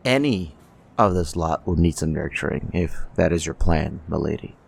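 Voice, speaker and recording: A man playing a deferential, businesslike trader in human blood donors in an ASMR roleplay, gravelly, with a folksy salesman's patter. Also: rumbling low, lively intonation, moderate pace, heavily acted. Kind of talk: ASMR audio roleplay acting.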